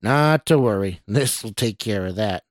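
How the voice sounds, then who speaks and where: An excitable, scheming doctor played by a man reading a story. He is smugly sure of himself and talks in an eager, coaxing way that turns condescending. The sound is dry. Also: lowish voice, moderate pace, no room sound, natural voice. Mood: falsely soothing. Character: excitable, scheming male doctor, smugly confident mad scientist. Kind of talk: amateur dramatic story reading.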